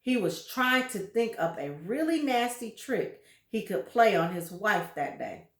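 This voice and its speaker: A woman reading a children's story aloud with deliberate, dramatic emphasis and brief asides to her listeners. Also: medium pitch, expressive, reverberant.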